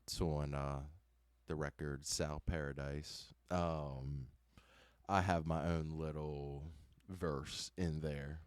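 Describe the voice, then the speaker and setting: A young man in his twenties talks slowly in a very low voice, with frequent ums. His voice is exceptionally clear and close. The room is dry. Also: no echo. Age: 20s. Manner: relaxed, hesitant, many ums. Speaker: band member, instrumentalist. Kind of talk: casual interview conversation.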